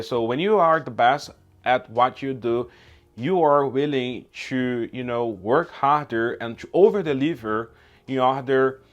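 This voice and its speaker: A man from Brazil whose first language is Portuguese, hosting a YouTube channel and talking informally outdoors, often checking in with "okay?" and "right?". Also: mid-range voice. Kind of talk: casual outdoor vlog talk.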